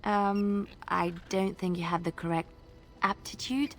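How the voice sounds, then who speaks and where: An actress playing a polite, slightly prim companion in a fantasy video game, speaking in a tactful, genteel way. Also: female voice, mid-range voice, steady tone, measured pace, a bit bright. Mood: tactful, hesitant. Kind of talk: video game character dialogue. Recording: music behind the voice.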